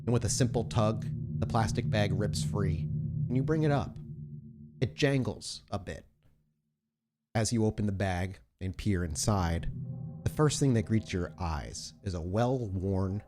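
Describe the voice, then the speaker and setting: A man runs a tabletop horror game as its storyteller, painting scenes with deliberate, detailed description. His voice sits on the low side, with music clearly playing underneath. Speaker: game master.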